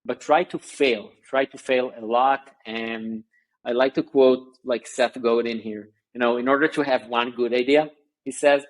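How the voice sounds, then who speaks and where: A man, a guest chatting on a video call in a halting way with many fillers and restarts. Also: mid-range voice, steady tone, poor audio. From Israel. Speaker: guest founder. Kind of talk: casual interview chat.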